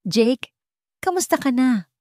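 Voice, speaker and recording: A woman's voice: high-pitched, expressive, slow pace, a little mellow, no room sound, clean studio sound, voice pushed up. A woman voicing a sweetly scheming wealthy aunt with a honeyed, falsely caring manner in an audio drama story.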